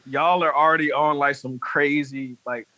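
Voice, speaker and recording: A man in his late twenties, a podcast guest, telling stories in a rambling, animated way, full of "like". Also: a little high, moderate pace, dry recording. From North Carolina. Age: 20s. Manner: rambling, heavy use of "like", animated storytelling. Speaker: podcast guest, music manager. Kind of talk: casual chat.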